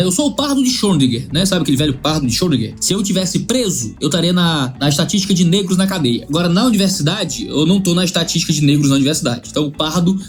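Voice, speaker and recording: A man from Ceará, hosting a theology channel and arguing his view through rhetorical questions. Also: a little high. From Ceará, Brazil. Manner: argumentative, full of rhetorical questions. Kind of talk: opinion commentary.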